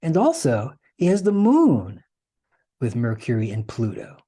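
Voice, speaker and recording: A man's voice: medium pitch, huge pitch swings, a little slow, warm tone, husky. A man hosting an informal explanatory presentation, conversational and often checking in with the listener through rhetorical questions. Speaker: host and presenter.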